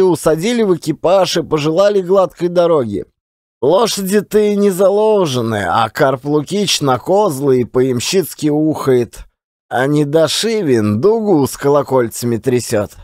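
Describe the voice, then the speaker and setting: A garrulous provincial Russian man, acted out by a male reader, spins his rueful yarn in an audiobook drama. His voice is high and very animated, with folksy colloquial turns and theatrically stretched words. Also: no room sound, clean studio sound, lightly acted. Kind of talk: dramatized audiobook storytelling, monologue.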